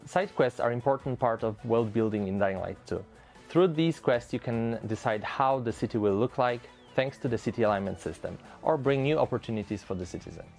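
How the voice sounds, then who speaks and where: A man's voice: mid-range voice, fairly quick, gravelly, darkish tone, faint music behind. A man speaking as a game developer, giving prepared answers in a promotional interview.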